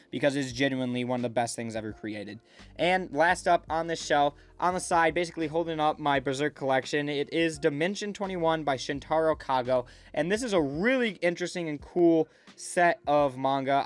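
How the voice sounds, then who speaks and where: Young male vlogger, slightly high voice, gushing casual delivery over background music. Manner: enthusiastic, hyperbolic. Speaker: YouTube host. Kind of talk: casual vlog chat. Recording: music playing behind the voice.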